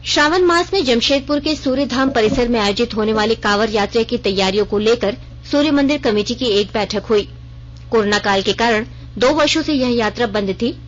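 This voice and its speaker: A woman presenting a regional radio news bulletin, reading a script in a formal, measured newsreader manner. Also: a little high, a little hiss or hum. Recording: dull, band-limited radio recording.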